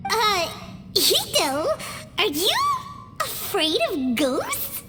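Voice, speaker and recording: Tiny excitable fairy companion: extremely high, swooping, unhurried childlike delivery, cutesy game style. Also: female voice, very noisy, exaggerated voice. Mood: teasing curiosity. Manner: cutesy, refers to self in third person. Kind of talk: video game cutscene acting. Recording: long reverb, loud music behind.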